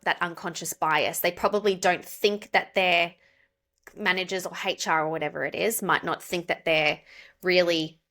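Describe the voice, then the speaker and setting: An Australian woman, a pronunciation coach, explaining clearly and steadily as a podcast guest. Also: lowish voice, pushed and bright. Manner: explanatory, measured. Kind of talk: podcast interview.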